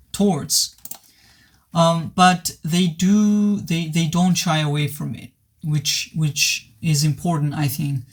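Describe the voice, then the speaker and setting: Male reviewer, pitch a bit high, voice worn from sickness, sincere and stop-start. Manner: hesitant, many restarts, earnest. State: voice worn from recent illness. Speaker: movie reviewer. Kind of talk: casual spoken film review.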